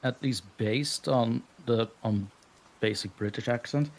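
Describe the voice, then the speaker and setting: A man's voice: mid-range voice, a little slow. A man from Belgium, a guest story narrator, chatting modestly and laughing often in a live stream interview. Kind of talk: casual interview chat.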